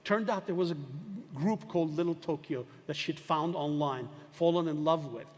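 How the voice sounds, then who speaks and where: A man's voice: fairly high pitch, normal speed. An older man giving a university lecture, discursive and emphatic, often asking rhetorical questions. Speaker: professor lecturing. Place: lecture hall. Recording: long hall reverb.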